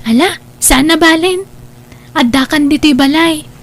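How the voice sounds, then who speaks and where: An actress playing a curious, sympathetic young student in an audio drama, with a casual, questioning manner. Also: female voice, sits high, unhurried. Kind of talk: Ilocano audio drama acting.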